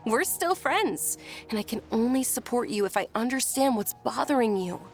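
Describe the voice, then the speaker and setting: A woman's voice: on the high side, expressive, normal speed, faint music behind. A woman playing a sweet, insistent young noblewoman in an anime game, speaking politely and earnestly, with a pleading edge. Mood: concerned.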